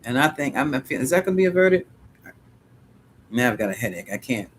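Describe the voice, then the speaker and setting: A man's voice: mid-range voice, big pitch swings, a little fast, fairly bright tone, a little hiss or hum. A middle-aged man hosting a psychic livestream, answering viewer questions in a rambling, musing way and chuckling often.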